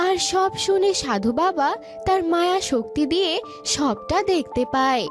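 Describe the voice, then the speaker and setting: High-pitched woman storyteller. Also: lively intonation, moderate pace. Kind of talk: scripted children's cartoon narration. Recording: music behind the voice.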